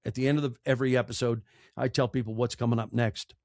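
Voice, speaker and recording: A man's voice: medium pitch, little pitch movement, fast talker, darkish tone, no room sound, clean studio sound. A middle-aged American man giving candid, conversational interview answers in an earnest, emphatic, emotional way. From United States. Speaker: interview guest, audiobook narrator and podcaster.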